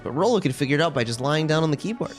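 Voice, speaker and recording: A video game streamer chatting casually with viewers on a live stream, rambling and thinking out loud. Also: medium pitch, lively intonation, very fast, faint music behind.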